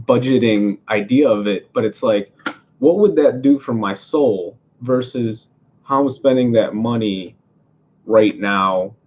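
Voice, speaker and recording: A man's voice: lowish voice, darkish tone, muffled recording. A man from Venezuela talking casually about politics as a podcast host, rambling through many fillers and restarts in his apartment.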